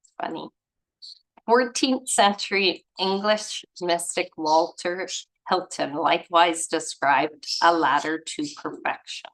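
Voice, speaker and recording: A woman's voice: fairly low pitch, slow pace, fairly bright tone, low-quality recording. A woman leading an online study gathering, reading aloud and adding casual, rambling comments as she searches for words. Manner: informal, chuckly, trails off and searches for words. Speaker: reader and leader of a spiritual study gathering. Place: online group video call.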